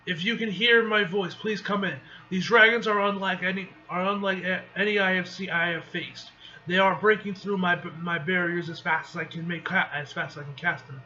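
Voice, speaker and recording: A man's voice: high voice, steady tone, a little fast, bright, forceful tone. A man voicing a beleaguered sorcerer from a comic book, making a formal, earnest plea and stumbling over words. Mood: earnest pleading. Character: beleaguered male sorcerer broadcasting a plea for help. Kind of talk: amateur comic book read-aloud acting. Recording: dull lo-fi mic with faint noise.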